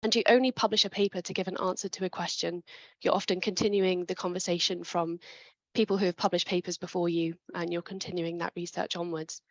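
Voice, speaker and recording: A woman presenting a webinar talk on writing papers, clear and methodical, with a chest infection coming on. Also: on the low side, little pitch movement, brisk, dry recording, lo-fi sound. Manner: clear, methodical, with small restarts.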